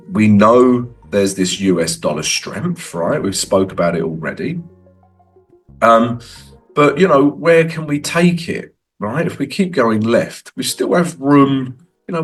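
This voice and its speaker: A man with a cold walking through trading charts in a casual, conversational way, tagging lines with "right?". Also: mid-range voice. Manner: conversational, frequent "right?" tags. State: has a cold. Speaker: forex trading educator.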